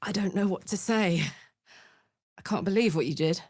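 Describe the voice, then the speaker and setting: A woman's voice: mid-range voice, normal speed, darkish tone, no room sound, studio quality, close to an everyday voice. An actress voicing a brash, big-hearted young female warrior in a video game, blunt and swaggering, tough yet playful and emotionally open. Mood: heartfelt, somber. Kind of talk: video game character voice lines.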